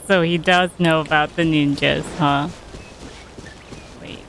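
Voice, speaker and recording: A woman's voice: low voice, fairly even intonation, takes it slowly, fairly bright tone, poor audio. A woman giving casual, fragmentary commentary to herself as she plays a video game. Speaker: gamer recording a playthrough. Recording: clear game noise behind the voice.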